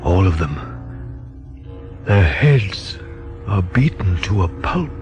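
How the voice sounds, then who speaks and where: Terse, grave man, expressive intonation. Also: sits low, slow, muffled recording, over music, full character voice. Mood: grim, tense. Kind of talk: radio drama acting.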